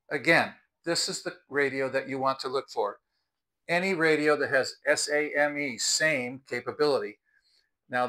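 A man's voice: medium pitch, sharp tone, low-quality recording. An American man in his sixties talking to camera in an earnest, advisory way, explaining things as a video host. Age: 60s. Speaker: video host and product reviewer. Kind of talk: explanatory vlog talk to camera. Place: outdoors on a house's front porch. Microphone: clip-on lapel mic.

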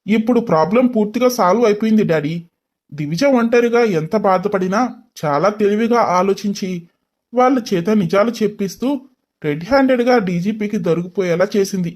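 A man voicing a brash, lovestruck young man in a story, with expressive delivery. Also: high voice, a little fast, natural voice. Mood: earnest.

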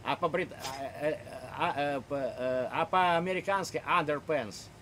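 A man's voice: fairly high pitch, measured pace, fairly bright tone, slight background noise. Older man lecturing on a video call. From Ukraine (Kharkiv). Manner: lecturing, provocative, many hesitations. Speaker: chat roulette host. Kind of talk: casual video chat with strangers. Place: home room. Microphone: webcam on video call.

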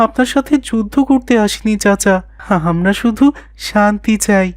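A woman's voice: mid-range voice, normal speed, dry recording, clean studio sound, natural voice. Polite earnest young boy voiced by a woman. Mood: earnest, pleading. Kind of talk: moral cartoon dialogue.

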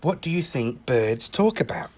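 A man reading a picture book aloud in an expressive, animated manner, acting out the exclamations. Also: a little high, normal speed, breathy edge, highs cut off. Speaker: library staff story reader.

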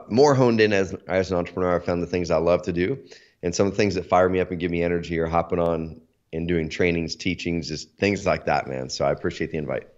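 A man in his thirties, hoarse from allergies, talking energetically as a guest on a video call interview. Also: low voice. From Oregon, USA. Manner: energetic, talkative, lots of fillers. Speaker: guest, company CEO. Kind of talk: casual interview. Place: home office, indoors. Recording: slightly dull lo-fi video call audio.

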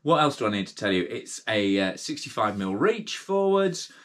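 A man's voice: lowish voice, sing-song, normal speed, fairly bright tone. A middle-aged British man hosting a casual cycling gear review late at night, chatty and rambling with lots of fillers and restarts, sounding tired. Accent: British English. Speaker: cycling channel host. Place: indoor workshop with brick wall and bikes.

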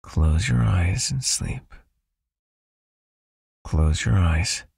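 A man voicing a possessive, soothing vampire hypnotist in an ASMR roleplay, with a hushed, coaxing manner and a raspy, creaky, breathy voice. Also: sits low, lively intonation, extremely slow, dry recording, studio quality, heavily acted. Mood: soothing, commanding. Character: possessive soothing male vampire hypnotist, controlling yet caring.

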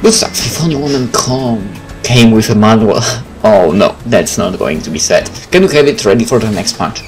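A man's voice: lowish voice, big pitch swings, moderate pace. A man doing a let's play, reading visual novel text aloud and adding casual comments. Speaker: gaming video creator. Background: game music.

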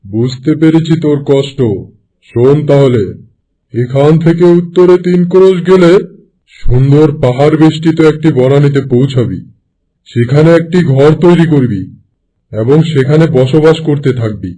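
Man as wise holy hermit, very mellow dark timbre, benevolent, solemn, cartoon. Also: a little high, normal speed, natural voice, low-quality recording. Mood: compassionate. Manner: solemn, instructive. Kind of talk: Bengali animated fairy-tale acting.

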